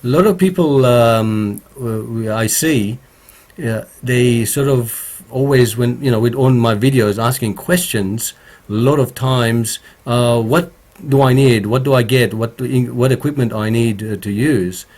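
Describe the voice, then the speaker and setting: Slow, halting man with a croaky voice. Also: mid-range voice, darkish tone. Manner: slow and halting, many fillers. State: slightly croaky voice. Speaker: home studio streamer answering viewer questions. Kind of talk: casual live stream chat. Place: home recording studio.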